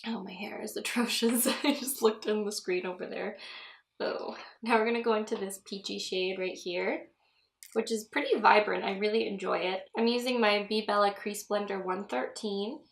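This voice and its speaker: A woman's voice: mid-range voice, creaky. A young woman vlogging a makeup tutorial in a chatty, rambling way, laughing often, her voice a bit stuffy from a cold. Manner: chatty, giggly, rambling asides. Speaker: beauty vlogger. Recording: echoey room.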